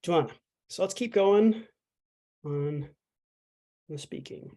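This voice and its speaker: Easygoing teacher talking through a lesson, mid pitch, loose and chatty. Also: takes it slowly, warm tone. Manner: chatty, explains with fillers and playful asides. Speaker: language instructor. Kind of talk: casual online language lesson.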